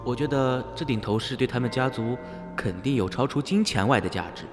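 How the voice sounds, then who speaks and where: A man's voice: mid-range voice, a little fast, natural voice. A man playing an inquisitive, politely reasoning young visitor in a radio drama. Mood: curious, skeptical. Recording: hall reverb, loud music underneath.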